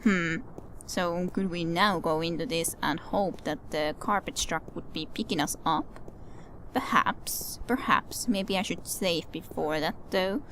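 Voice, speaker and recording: A woman's voice: lowish voice, unhurried, a bit bright, a little hiss or hum, rough recording. A woman giving casual game commentary, musing aloud hesitantly and repeating her words. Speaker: let's play commentator.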